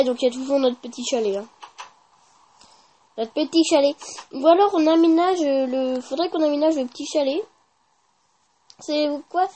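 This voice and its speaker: High-pitched casual gaming YouTuber. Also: mellow. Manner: informal, slangy, swearing. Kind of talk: casual gameplay commentary.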